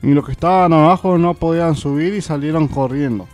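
A man's voice: on the high side, fairly quick, a little mellow, faint music behind. A man reading a scripted folk tale as the narrator of a radio play, with an occasional stumble. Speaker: storyteller, narrator.

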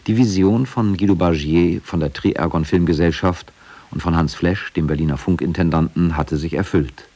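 Deep-voiced man narrating a documentary. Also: a little mellow, breathy edge. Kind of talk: scripted radio documentary narration.

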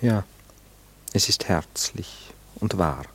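A courteous gentleman in an intimate radio drama. His voice sits low. He is reserved and reflective, answering politely but evasively. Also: lively intonation, slow, breathy edge, whispered, exaggerated voice. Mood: quiet, sincere. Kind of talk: intimate radio play dialogue.

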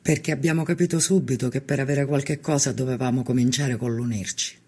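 Husky Sicilian peasant man. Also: mid-range voice, steady tone, fairly quick, a little mellow. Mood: resolute. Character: poor Sicilian peasant man, father, earnest and determined. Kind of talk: acted documentary testimony.

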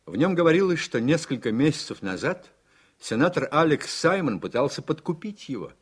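A man narrating a radio play in measured, articulate scripted storytelling. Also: a little high, very animated, breathy edge.

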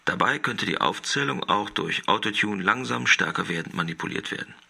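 An actor playing a matter-of-fact reader of stage directions in a radio play. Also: male voice, on the low side, lively intonation, fairly quick, creaky, sharp tone, breathy edge, full character voice. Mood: matter-of-fact, instructing. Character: dry male voice reading out the script's stage directions. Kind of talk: scripted radio play narration.

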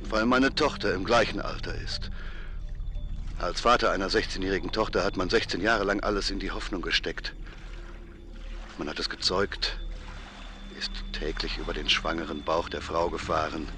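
An actor as an earnest low-voiced fisherman. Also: noisy background, lightly acted. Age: middle-aged. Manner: halting, deliberate, repetitive storytelling. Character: earnest fisherman and father, insistent, haunted witness. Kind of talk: radio drama monologue.

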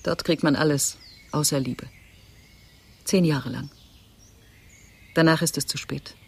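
An actress playing a composed, domineering woman in a German radio play, emphatic and deliberate. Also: deep voice, fairly even intonation, creaky, darkish tone, husky, full character voice. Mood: cool, bitter.

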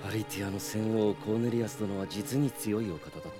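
An actor playing a stern knight commander who speaks formally and with authority in a radio drama. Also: male voice, on the low side, fairly quick, lightly acted. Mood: measured, reflective. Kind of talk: Japanese radio drama acting. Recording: dry studio with background music.

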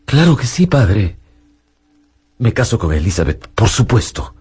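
An actor playing an anguished young scientist in a radio drama, halting, dramatic and emotional. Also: male voice, fairly low pitch, big pitch swings, darkish tone, husky, full character voice. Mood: hesitant, dutiful. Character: anguished young male scientist, dutiful, haunted by guilt. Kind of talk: radio drama acting and narration.

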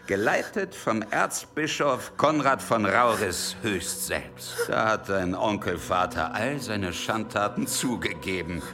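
A man's voice: lowish voice, animated, takes it slowly, hardly any pauses, music in the background. A man in a German radio drama playing a sadistic, cunning henchman who speaks in a mocking, menacing, sardonic way. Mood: cold, accusing. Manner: taunting, sardonic, toying with the listener.

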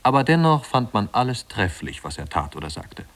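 A man narrating a literary tale in a 1950s radio drama, in a measured, wry storytelling manner. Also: lowish voice, big pitch swings, creaky, a bit bright.

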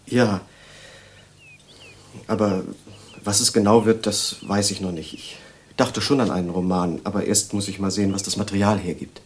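An actor playing an earnest, inquisitive young writer in an audio drama, speaking in a hesitant, deliberate way. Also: male voice, fairly low pitch, steady tone, slight background noise, natural voice. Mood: inquisitive, tentative. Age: young adult.

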